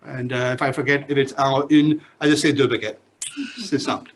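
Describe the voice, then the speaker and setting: A man's voice: mid-range voice, steady tone, fairly quick, a little hiss or hum. A middle-aged man with a Singaporean English accent, a business lecturer introducing himself to his class in a chatty, joking way. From Pakistan, grew up in Singapore. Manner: chatty, joking asides, checks in with "all right?".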